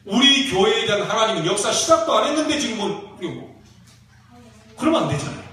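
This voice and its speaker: A man with a regional Korean accent preaching as a pastor, exhorting emphatically with rhetorical questions and drawn-out key phrases. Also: sits high, big pitch swings, bright, forceful tone. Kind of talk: Korean church sermon, exhortation and prayer. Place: church sanctuary during a service. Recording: very echoey church hall, distant mic, faint noise.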